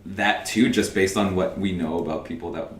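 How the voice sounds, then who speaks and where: A young man co-hosting a podcast in casual chat, animated and laughing often as he retells scenes. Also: deep voice, normal speed. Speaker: podcast co-host. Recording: echoey room with faint ambience.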